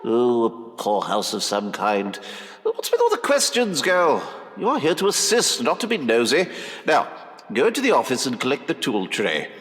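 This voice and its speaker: An actor playing a pompous, condescending Edwardian surgeon in a period audio drama, with a lecturing manner. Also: male voice, mid-range voice, huge pitch swings, normal speed, fairly bright tone. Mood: pompous, impatient. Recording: echoey reverberant hall sound.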